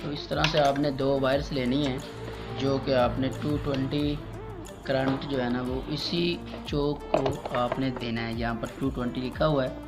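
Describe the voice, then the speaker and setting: A man's voice: mid-range voice, steady tone, measured pace. Male tutorial voice over prominent music. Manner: repetitive, instructive, addressing viewers as friends. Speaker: electrician giving a tutorial. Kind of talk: casual step-by-step how-to demonstration. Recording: loud music behind the voice.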